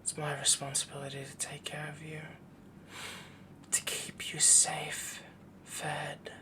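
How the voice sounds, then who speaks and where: A man playing a doting, possessive yandere werewolf boyfriend in an intimate roleplay audio, soft-spoken, whispery and adoring. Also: a little high, completely flat delivery, takes it slowly. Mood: devoted, possessive.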